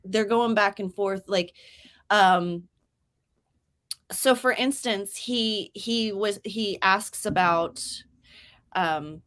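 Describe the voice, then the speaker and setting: A young woman hosting a writing stream in casual chat, telling stories lively and acting out quoted speech with big jumps in pitch. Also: extremely slow, long pauses.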